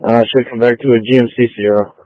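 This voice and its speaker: A male police officer calling in over scanner radio, spelling names in phonetic code in a flat, routine way. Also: medium pitch, fast, no room sound, muffled recording.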